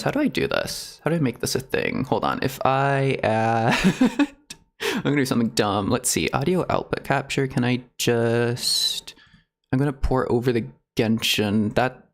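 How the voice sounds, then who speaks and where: A man chatting casually on a livestream in a halting, rambling, giggly way. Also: mid-range voice, creaky. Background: video game music.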